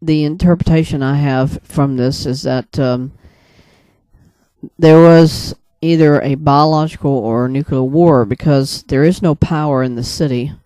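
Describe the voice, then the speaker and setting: Male casual storyteller, very unhurried and hesitant, smooth soft voice, close dry sound. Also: on the high side, fairly even intonation, no echo. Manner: hesitant, rambling, pauses often mid-sentence. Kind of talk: casual first-person storytelling, recounting a dream.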